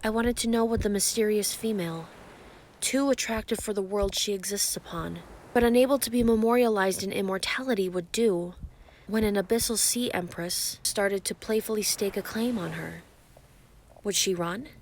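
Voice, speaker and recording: A woman playing an ancient, giant female sea creature, calm and grandiose, flirting possessively in an intimate roleplay monologue. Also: mid-range voice, moderate pace, slight background noise, natural voice. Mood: playful, imposing. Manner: calm, teasing, grandiose, formal wording.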